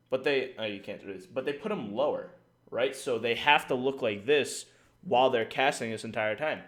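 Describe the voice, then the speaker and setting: A man talks through casting tips on a stream in a loose, rambling way. He fills gaps with "uh" and checks in with "right?". His pitch sits in an ordinary middle range. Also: fairly bright tone. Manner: rambling, frequent fillers and "right?". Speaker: esports caster giving tips. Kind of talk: casual livestream talk.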